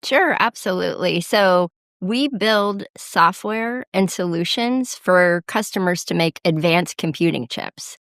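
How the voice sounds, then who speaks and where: Middle-aged Midwestern woman, interview guest, gravelly low voice, dry close sound. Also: lively intonation, a little slow, few pauses, fairly bright tone, no room sound. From Midwest US, Ohio. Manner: thoughtful, many fillers and restarts. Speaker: guest, chief financial officer. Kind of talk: conversational interview answers.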